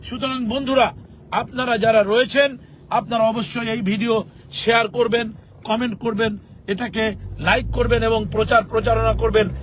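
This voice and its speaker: A Bangladeshi man with a very high-pitched voice addresses his political followers. He speaks emphatically, breaking his sentences into short, halting phrases. Clear traffic and outdoor city noise runs behind him. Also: little pitch movement. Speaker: political activist and legal expert.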